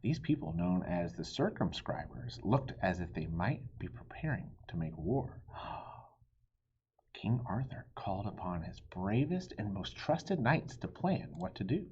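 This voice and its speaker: A man reading a storybook aloud for children in an expressive teacherly way. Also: sits low, slightly rough, highs cut off. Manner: animated, pauses to quiz listeners.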